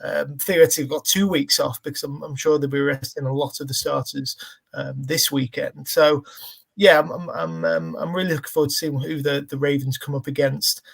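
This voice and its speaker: A man giving casual sports analysis as a podcast panelist, halting and often repeating his words as he thinks. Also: on the high side, gravelly, slightly rough.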